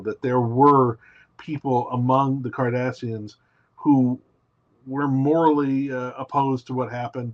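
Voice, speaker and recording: A man on a remote call, recorded with dull, slightly lo-fi sound. His pitch sits in the usual middle range for a man. He talks casually and at length, walking through a story step by step and filling the gaps with "you know". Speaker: podcast guest, writer. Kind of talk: casual interview talk. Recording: dull lo-fi audio.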